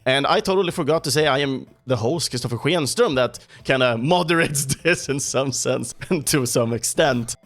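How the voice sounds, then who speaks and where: A man's voice: medium pitch, moderate pace, hardly any pauses, fairly bright tone, faint music behind. A man hosting a casual podcast interview, upbeat and enthusiastic, often laughing. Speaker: podcast host.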